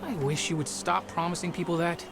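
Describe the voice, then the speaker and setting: An actor playing a stern, dutiful young Spartan warrior with a curt, disciplined manner in a video game drama. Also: male voice, fairly high pitch, fairly even intonation, a little fast, vocal fry, music in the background.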